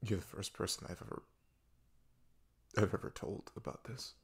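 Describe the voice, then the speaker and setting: A low, gravelly young-love confession voice: a man acting as a hurting boyfriend in an emotional ASMR roleplay. He speaks in a hushed, breathy husk, haltingly, with long pauses, sounding fragile and weighed down. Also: heavily acted. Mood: grieving. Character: depressed, vulnerable boyfriend confiding long-hidden grief.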